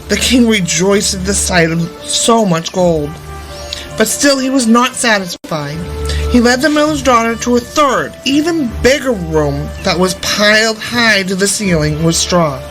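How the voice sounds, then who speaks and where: A woman's voice: medium pitch, fairly bright tone, rough recording. A woman storyteller reading a fairy tale aloud expressively. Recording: music behind the voice. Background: background music.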